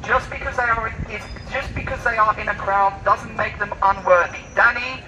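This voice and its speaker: A man's voice: sits high, fairly even intonation, moderate pace. A man debating in public through a megaphone, speaking in a formal, insistent, declamatory manner. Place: busy outdoor city street. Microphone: megaphone picked up by a distant camera mic. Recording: tinny megaphone outdoors, distant, street noise.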